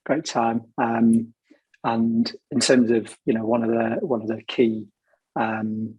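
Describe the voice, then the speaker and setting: A man's voice: mid-range voice, flat delivery, slow pace, darkish tone, breathy edge, dry recording, dull audio. A man with a sore throat, talking casually and at length about his career as a panel guest. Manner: chatty, rambling storytelling.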